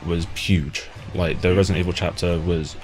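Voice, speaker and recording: A man's voice: sits low, fairly even intonation, normal speed, a little mellow, soft background music. A man acting as interviewer, chatting casually and enthusiastically, giving opinions and asking questions like a fan.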